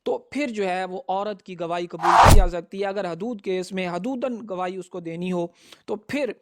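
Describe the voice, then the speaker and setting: A man's voice: sits high, normal speed. A man gives a prepared educational law lecture in a formal, methodical way, setting out points one by one. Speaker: advocate presenting law lessons.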